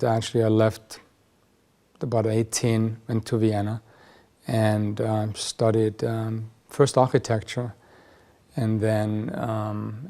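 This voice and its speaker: A man speaks English with an Austrian accent, as a native German speaker. His voice is fairly low and stays very flat in pitch. He pieces his thoughts together haltingly, as an artist being interviewed. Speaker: audiovisual artist. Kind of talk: interview for a documentary.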